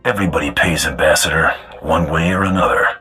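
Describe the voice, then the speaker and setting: A very deep, gritty bounty hunter. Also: male voice, fast talker, sharp tone, husky, faint music behind, heavily acted. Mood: cool, curt. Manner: terse, blunt, threatening. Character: cold, menacing male bounty hunter for hire. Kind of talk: dramatic video game voice acting.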